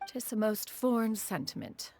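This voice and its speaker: A woman's voice: medium pitch, big pitch swings, normal speed, a little mellow. A woman playing a proud, vain, ancient immortal bird master in a video game, speaking in grandiose, archaic formal phrases. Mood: indignant. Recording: faint background music under the voice.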